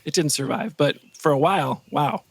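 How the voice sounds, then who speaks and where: Male guest, slightly high voice, modest and chatty, dry recording. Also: normal speed, creaky, slightly rough, no echo. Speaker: podcast guest, composer. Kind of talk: casual interview chat.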